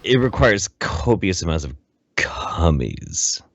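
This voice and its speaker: A man's voice: low voice, sing-song, takes it slowly, fairly bright tone, no echo. A man co-hosting a casual live podcast in a mock-serious, profane and emphatic way. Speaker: podcast co-host.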